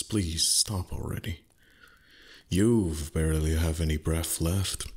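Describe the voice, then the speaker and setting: Casting: male actor for proud, age-old dragon in intimate roleplay audio. Deep, very raspy-breathy voice, formal phrasing, teasing disdain hiding a soft heart. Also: heavily acted. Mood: dry, teasing. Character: ancient, proud dragon, gruff but secretly tender. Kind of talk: roleplay ASMR voice acting.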